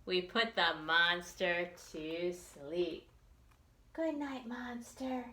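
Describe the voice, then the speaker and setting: Slow, bright-voiced woman reading to children. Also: on the low side, echoey room. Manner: expressive, deliberate enunciation for young listeners. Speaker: children's librarian storytime reader. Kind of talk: read-aloud picture book storytime for young children.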